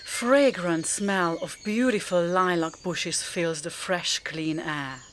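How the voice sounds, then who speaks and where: A woman's voice: on the low side, slow, a little hiss or hum. A woman narrating a scripted radio drama as its storyteller.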